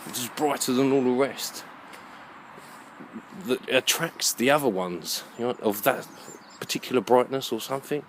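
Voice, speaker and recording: A man giving a rambling, halting monologue as he walks outdoors, musing and questioning himself. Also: medium pitch, a little hiss or hum. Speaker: vlogger. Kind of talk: rambling walk-and-talk monologue. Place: outdoors, walking along a muddy alleyway.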